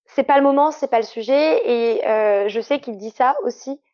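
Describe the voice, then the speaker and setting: Higher-voiced woman, muffled dry sound. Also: moderate pace, a little mellow. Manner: earnest, explanatory, frequent fillers. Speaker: sexologist guest. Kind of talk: casual interview conversation.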